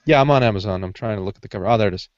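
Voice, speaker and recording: A man chatting casually on a podcast panel, halting often and restarting as he searches for words. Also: fairly low pitch, no room sound, muffled recording. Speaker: podcast panelist discussing writing craft. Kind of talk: casual unscripted chat.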